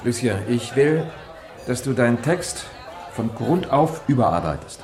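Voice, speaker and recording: An actor playing a demanding, businesslike publisher in a radio drama, speaking insistently. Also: male voice, medium pitch, very animated, a little slow, darkish tone. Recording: faint crowd chatter behind. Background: faint crowd.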